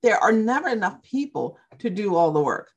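Husky, lively-voiced woman host. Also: mid-range voice, normal speed. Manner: affirming and reflective, asks thoughtful questions. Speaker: podcast host. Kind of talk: interview conversation.

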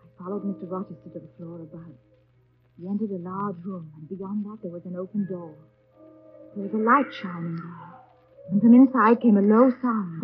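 An actress playing an earnest, modest young governess, speaking and narrating in a demure, restrained 1930s radio drama style. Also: female voice, medium pitch, close to an everyday voice. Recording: dull 1930s radio recording, faint music behind.

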